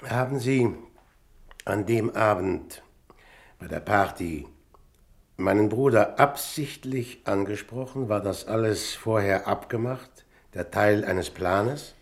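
Radio crime drama: courteous male investigator, deep-set gravelly tone, deliberate and gently probing.

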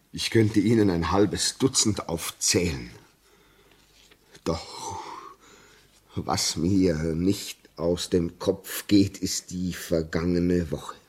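An actor playing a haunted, anxious railway signalman in a 1950s radio drama, halting and agitated, swinging between hushed dread and crying out. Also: deep voice, slightly rough, heavily acted. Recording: muffled-edged vintage 1950s radio recording.

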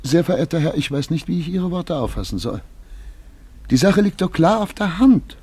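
An actor playing a nervous, self-important major in a German radio play, halting and politely indignant. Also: male voice, a little high, lively intonation, a little mellow, breathy edge, no echo. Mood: exasperated, indignant. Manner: hesitant, halting, stammering politeness.

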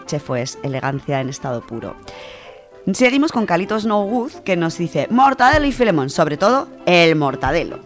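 A woman's voice: fairly low pitch, sing-song, moderate pace, faint music behind. A woman with an accent from Spain presenting a radio show, chatting informally as she reads out listener contributions. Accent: Spanish from Spain. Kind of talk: casual radio chat, reading out listener contributions.

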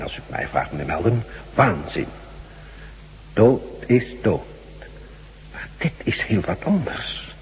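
A man acting in a Dutch radio drama as a learned doctor excited by scientific discovery, speaking in an emphatic, lecturing manner. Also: fairly low pitch. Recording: echoey, noisy radio play recording.

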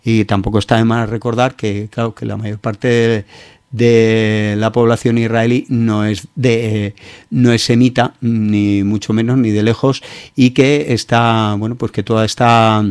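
A man's voice: on the low side, level tone, measured pace, a little mellow, clean tone, no room sound, studio quality. A man with a Peninsular Spanish accent hosting a radio show, giving opinionated news commentary in an informal, hesitant way.

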